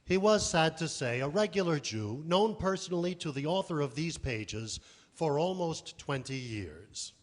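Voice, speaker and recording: A man's voice: fairly high pitch, expressive, a little slow. A man performing a scripted literary reading on stage at a live event.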